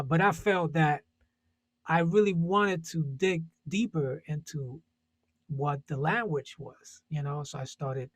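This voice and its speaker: A man from Puerto Rico speaks in a husky, slightly raised voice, thinking aloud about his music. He circles back and restarts often, using fillers as he searches for words. The sound is close and dry. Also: fairly high pitch, no echo. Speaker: jazz musician, panelist. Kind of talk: casual panel discussion.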